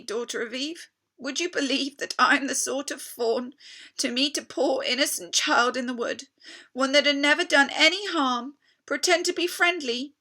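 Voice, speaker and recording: A woman's voice: high-pitched, bright, forceful tone, pitched above the performer's own voice, full character voice. A woman voicing an anxious, guilt-ridden, tearful male fawn in a storybook, with a stammering, dramatic delivery.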